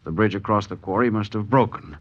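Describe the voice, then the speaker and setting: An actor playing a mild, kindly old schoolteacher reminiscing in 1940s radio drama style. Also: male voice, fairly low pitch, brisk, husky. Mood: fond, reminiscing. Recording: muffled 1940s radio broadcast.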